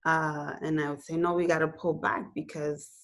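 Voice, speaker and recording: A woman's voice: low voice, flat delivery, normal speed. A woman in her thirties from Puerto Rico, raised in New York City, talking thoughtfully about her film on a video call from her living room, with many "you know"s and "um"s. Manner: gestures with her hands while talking. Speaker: filmmaker being interviewed. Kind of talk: reflective casual interview answers. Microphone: laptop webcam on a video call.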